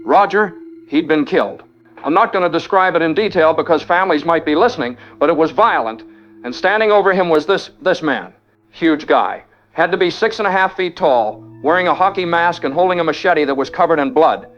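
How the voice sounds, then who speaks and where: A man's voice: fairly high pitch, animated, a little fast, a bit bright. Shaken foreman played by a man, dull phone line. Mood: shaken, grave. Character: shaken construction foreman, male survivor of a massacre. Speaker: interviewed survivor. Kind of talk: audio drama acting, news phone-in.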